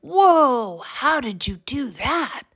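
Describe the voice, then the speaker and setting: Woman playing a young schoolboy, eager, wide-eyed, emphatic, children's storybook character, swinging pitch. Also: animated, slow pace, fairly bright tone, slight background noise. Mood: amazed. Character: eager schoolboy who adores magic.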